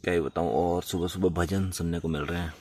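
A low-pitched man talks informally to his viewers, narrating what he is doing as he camps alone. The mic sits at some distance, with faint noise around his voice. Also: fairly even intonation, fast talker, a little mellow. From India. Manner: informal, narrates own actions. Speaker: solo camping vlogger. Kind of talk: casual chat to viewers. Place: at a forest campsite.

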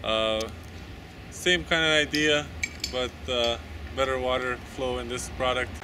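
A man describes the pipe and the job under way, plain and hesitant, with many pauses to search for words. His voice sits mid-range, and steady machine noise hums clearly behind him. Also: medium pitch. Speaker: plumber. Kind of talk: describing work in progress.

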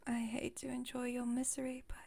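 A haughty, playful vampire woman acted by a woman whispers in a breathy, mellow voice. Her delivery stays fairly even, with formal, wry teasing and a protective streak, as in an intimate ASMR roleplay. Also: fairly high pitch, a little fast. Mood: gently reassuring.

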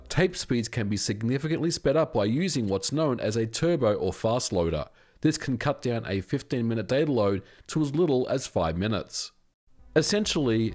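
A middle-aged man, around his forties, giving a scripted explanatory narration as a video host in a measured, instructional way. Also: mid-range voice, darkish tone. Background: background music.

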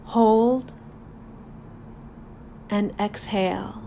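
A woman leads a guided meditation at an extremely slow pace, leaving long pauses between instructions. Her mid-pitched voice is calm, deliberate and soothing. There is faint ambient noise behind her. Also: creaky.

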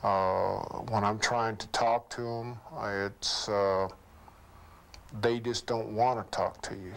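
A man with a low, gravelly voice answers an interviewer in a plain, matter-of-fact way. His pitch barely moves, so he sounds flat and even. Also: takes it slowly. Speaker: undercover police investigator.

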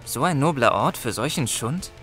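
Young male store clerk played by an actor, mid-pitched, dry and teasing, casual radio play dialogue. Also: lively intonation, normal speed, a bit bright, soft background music, close to an everyday voice. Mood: sarcastic, concerned.

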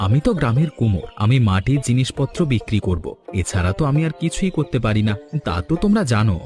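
পুরুষের অভিনয়ে দায়িত্বশীল গ্রামের কুমোর, মাঝারি গলা. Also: male voice, mid-range voice, sing-song, fast, dark tone, close to an everyday voice. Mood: earnest, organizing. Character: responsible, caring village potter and father. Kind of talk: Bengali cartoon acting. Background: background music.

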